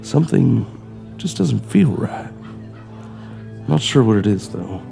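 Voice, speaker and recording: Gravelly, desperate male thief. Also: mid-range voice, very animated, takes it slowly, over music, full character voice. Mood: guarded. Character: tense, desperate male thief, guarded and determined. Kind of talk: audio drama acting.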